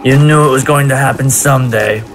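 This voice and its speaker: A man's voice: mid-range voice, a little fast, close to an everyday voice. A man playing a stern, commanding, fanatical army captain in an audio drama, speaking in a clipped, authoritative way. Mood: cold command. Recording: noisy background ambience.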